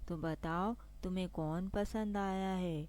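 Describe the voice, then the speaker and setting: A woman plays a kindly middle-aged father in a children's cartoon. The voice sits a little low and moves slowly. The manner is measured and reasonable. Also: steady tone, darkish tone. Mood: pleased. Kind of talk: children's cartoon story acting.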